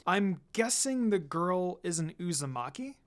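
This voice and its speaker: A story reader voicing an excitable, blunt teenage girl who gushes and blurts things out. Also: mid-range voice, unhurried. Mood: curious.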